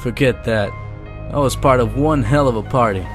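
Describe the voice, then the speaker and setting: A man's voice: medium pitch, normal speed, over loud music, close to an everyday voice. A man voicing an ambitious, cocky henchman who narrates a motion comic in hardboiled first person.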